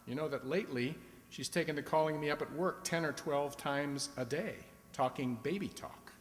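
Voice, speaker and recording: A man's voice: fairly high pitch, normal speed, lightly acted. A man acting in a radio play as a henpecked, nervously eager husband, hesitant and wheedling, recorded in an echoey hall. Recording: echoey hall with long reverb.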